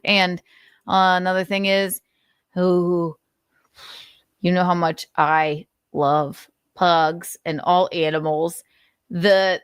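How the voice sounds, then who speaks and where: A woman's voice: sits low, dry recording. Blunt, sweary woman on a dry mic. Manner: blunt, sweary, indignant asides. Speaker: livestream host. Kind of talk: reading notes aloud with candid commentary.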